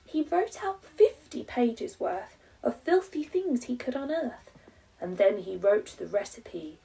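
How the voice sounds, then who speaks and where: An English woman in her thirties reads a rhyming children's story like a primary teacher. Her delivery is slow and dramatically emphasised, with a creaky edge, recorded a little way from the microphone. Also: fairly high pitch, lively intonation, mellow, distant mic, poor audio. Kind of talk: expressive children's storybook reading.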